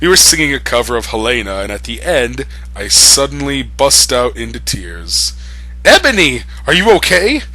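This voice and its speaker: Man, medium pitch, bright forceful voice, comic fan fiction reading, mocking amused asides, music behind. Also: lively intonation, moderate pace, no room sound. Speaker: reader.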